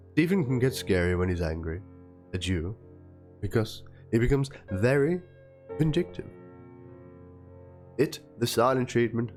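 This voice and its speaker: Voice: sits low, a little mellow. Theatrical, aggrieved dramatic reader over music. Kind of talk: dramatic reading of messages and a document. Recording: music under the voice.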